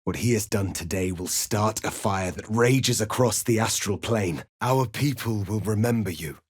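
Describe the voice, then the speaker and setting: An actor playing a proud, righteous rebel warrior prince in a fantasy video game, speaking in a solemn, formal, declamatory way. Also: male voice, fairly low pitch, moderate pace, breathy edge, no echo, clean studio sound. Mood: solemn, earnest.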